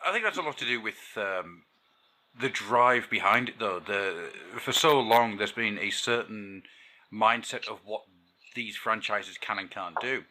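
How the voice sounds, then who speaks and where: Low man with a very bright, sharp voice. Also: unhurried. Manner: chatty, reflective, laughs easily. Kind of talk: casual podcast conversation.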